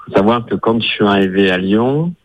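A man, a chef calling in to a radio show, telling a relaxed anecdote about an old colleague. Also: lowish voice, fairly quick, no room sound, lo-fi sound. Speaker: chef caller. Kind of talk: casual chat on the phone.

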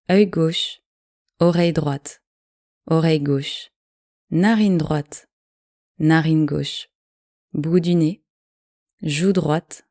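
A woman with a low, mellow voice leads a guided relaxation. She gives calm, measured instructions in short phrases, very slowly. The recording is dry and close. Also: dry recording. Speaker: yoga nidra guide.

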